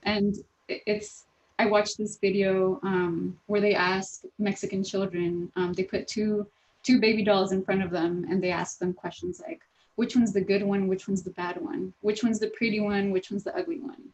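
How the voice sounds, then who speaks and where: The recording is slightly muffled and lo-fi. A woman of medium pitch talks earnestly in a group discussion, pausing deliberately between thoughts. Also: little pitch movement, a little mellow. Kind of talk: casual panel discussion. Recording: dull lo-fi audio.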